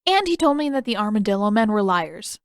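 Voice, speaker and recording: A woman's voice: medium pitch, very animated, fairly quick, no echo, clean studio sound. A woman voicing a friendly, guileless young boy in an audiobook story, earnest and artless. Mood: innocent, puzzled.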